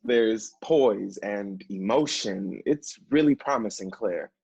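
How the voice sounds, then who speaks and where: Husky, encouraging literary agent, acted by a man. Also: fairly low pitch, takes it slowly, darkish tone, dry recording, full character voice. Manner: polite, encouraging. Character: measured, encouraging literary agency professional. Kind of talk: stage play acting. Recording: dull, dry stage recording.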